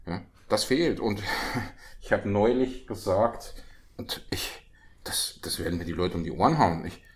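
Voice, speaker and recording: Brief: West German man, lowish voice, ironic and animated in discussion. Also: unhurried. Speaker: discussion partner. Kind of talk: casual political chat.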